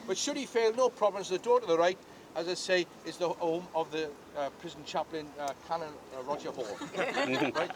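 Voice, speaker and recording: A man's voice: sits high, noisy background. An older Welsh man giving a jokey, chatty guided tour outdoors at a historic castle, often asking the crowd questions.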